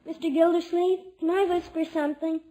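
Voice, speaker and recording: Very high-voiced little boy. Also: steady tone, fairly quick, mellow, poor audio. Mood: earnest. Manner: blunt, innocent questioning. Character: earnest, inquisitive, polite little boy. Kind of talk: 1950s radio comedy acting.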